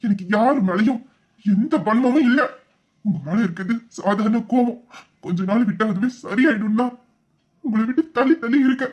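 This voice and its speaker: A man playing a young man who is hurt and quick to anger, scolding and exasperated in an audio drama. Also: very high-pitched, slightly rough, exaggerated voice. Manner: scolding, exasperated, shouting at times. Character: young man, wounded and quick-tempered lover.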